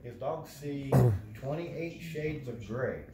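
A man's voice: mid-range voice, slow pace. A man telling stories in casual, heavily profane chat, recorded by a phone across a living room. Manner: profane, animated retelling with acted-out quotes. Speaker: storyteller. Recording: roomy, distant phone recording, background chatter.

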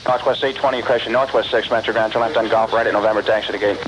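A man's voice: on the low side, fairly even intonation, rapid-fire. Guy doing airport ground control, rattles off clipped instructions, super noisy radio tape. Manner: clipped, procedural phrasing. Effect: aviation radio. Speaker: air traffic ground controller.

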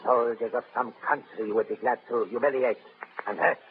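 An actor playing a scheming male scientist who offers a deadly plague, with a smooth, insinuating manner, from a tinny 1930s radio broadcast. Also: mid-range voice, fairly even intonation, a little fast, slight background noise, natural voice.

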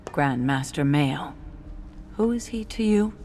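A woman's voice: low voice, animated, takes it slowly, a little mellow, soft background music. A woman playing a firm, earnest military superhero with an authoritative manner in a video game. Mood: earnest concern. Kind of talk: dramatic video game acting.

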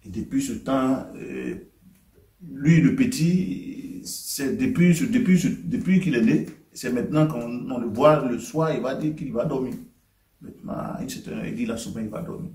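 A man's voice: mid-range voice, a little slow, darkish tone. Hesitant man, roomy far-off sound. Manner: hesitant, full of "euh" and "voilà". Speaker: testimony giver, head of family. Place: small meeting room.

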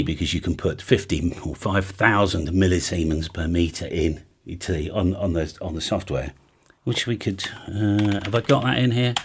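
A middle-aged man with an English accent and a husky voice, explaining technical matters informally and emphatically, often checking in with the listener. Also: low voice. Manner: conversational, emphatic, checks in with "right?". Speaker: presenter. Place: home music studio room, indoors.

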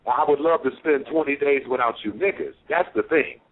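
A man's voice: mid-range voice, a little fast, a little hiss or hum. A man arguing boastfully and mockingly on a heated call-in show. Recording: tinny phone line.

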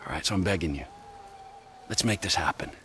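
A man's voice: low voice, expressive, fairly quick, sharp tone, a little hiss or hum. An actor playing a volatile, violent middle-aged criminal in a video game cutscene, swearing and switching between pleading and screaming rage.

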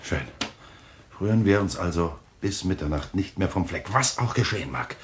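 An actor playing a skeptical, controlling journalist in a German radio thriller, with a deliberate, sardonic, interrogating manner. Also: male voice, sits low, expressive, slight background noise. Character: skeptical, controlling male journalist, suspicious husband.

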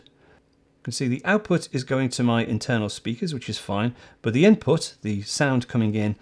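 An older man presents a step-by-step software tutorial. His pitch moves up and down in a lively way as he explains each step informally, at a middling register. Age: older, about 60. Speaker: host of a tech tutorial show. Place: small home studio office, indoors.

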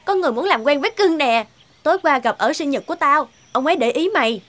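A woman's voice: high-pitched, animated, quick pace, fairly bright tone. A woman voicing a brash, pushy, materialistic young woman in a cartoon. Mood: breezy teasing. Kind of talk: cartoon dubbing.

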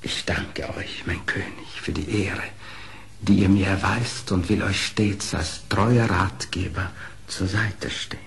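An actor playing a sly, smooth-talking fox who tells his adventures in a theatrical, courtly radio play manner. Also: low voice, slow pace, exaggerated voice. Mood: solemn, courtly. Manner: theatrical, courtly, flattering storytelling. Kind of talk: German radio play acting. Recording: old audio play with faint noise.

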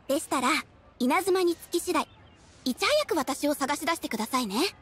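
A woman voicing a polite, gentle young receptionist in an anime-style game, speaking formally and courteously. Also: extremely high pitch, lively intonation, measured pace, a little hiss or hum, exaggerated voice. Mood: gently encouraging. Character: polite, gentle young female guild receptionist in maid uniform. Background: background music.

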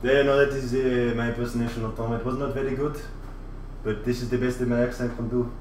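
A man's voice: medium pitch, level tone, quick pace, darkish tone, slight background noise, close to an everyday voice. A man streaming live from a kitchen, putting on a vulgar, boastful French chef character with a put-on French accent, rambling and repeating "you know". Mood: cocky. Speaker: livestreamer. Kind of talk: casual livestream chat in character. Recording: roomy, distant mic, faint ambience.

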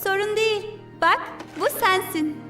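A woman's voice: very high voice, measured pace, bright, forceful tone, heavily acted. A woman voicing a sweet, trusting young princess in a cartoon dub, polite and earnest. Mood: gentle, friendly. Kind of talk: dubbed cartoon acting. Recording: distant, echoey, music behind.

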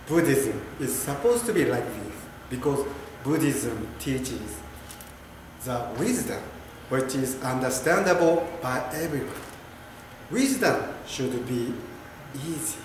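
A middle-aged man from Japan with a Japanese accent, a Buddhist priest talking to the camera in simple, careful English. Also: mid-range voice. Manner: simple, careful English with small grammar slips. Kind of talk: informal video talk to camera. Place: temple hall indoors, standing before an altar. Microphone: camera a few steps away. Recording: very echoey room with clear background noise.

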